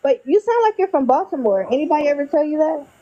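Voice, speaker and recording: A woman's voice: sits high, lively intonation, fast, dark tone, a little hiss or hum. A woman hosting a casual chat with a viewer, talking in a loose, chatty way and sounding tired. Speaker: channel host. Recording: dull lo-fi sound.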